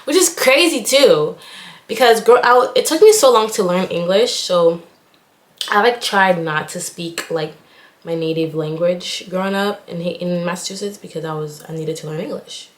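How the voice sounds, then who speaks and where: A woman's voice: fairly low pitch, normal speed. A young woman from Haiti, a nursing student, chatting casually while getting ready and rambling with lots of "like". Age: young adult. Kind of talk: casual get-ready-with-me chat. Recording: echoey room.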